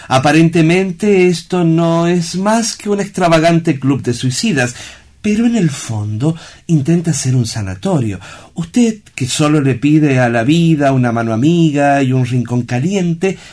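Fairly high male voice, paternal director of a sanatorium, acted by a man, courteous, formal, reassuring, theatrical radio play. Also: darkish tone. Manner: formal, courteous, measured phrasing. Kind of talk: theatrical audio drama acting.

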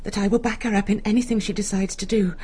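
A woman's voice: mid-range voice, fairly even intonation, fast, warm tone, breathy edge, close to an everyday voice. An actress playing a nervous, timid married woman in a radio drama, anxious and hesitant.

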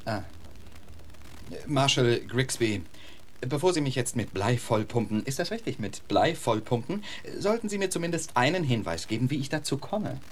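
A man plays an urbane, flippant gentleman poet in a radio play. He speaks with elaborate courtesy and dry wit in a mid-range, very animated voice. Clear ambience sits behind him. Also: mid-range voice, normal speed, a little hiss or hum, lightly acted. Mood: wry, unflappable. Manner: elaborately polite, wry, eloquent. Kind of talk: radio drama acting.

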